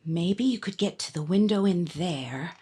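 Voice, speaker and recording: An actress playing a frightened young wife trapped with a gunman, breathless and quick to panic, in 1950s radio drama style. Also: lowish voice, animated, warm tone, breathy edge, full character voice. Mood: urgent hushed.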